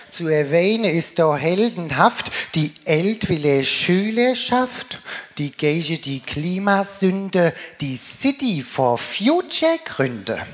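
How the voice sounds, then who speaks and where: Slow, low-voiced woman with Rhine-Hessian accent. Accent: Rhine-Hessian German. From Germany, Rheingau region. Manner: declaims in sing-song rhyming couplets. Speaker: carnival speaker. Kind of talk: rhymed humorous carnival speech in dialect.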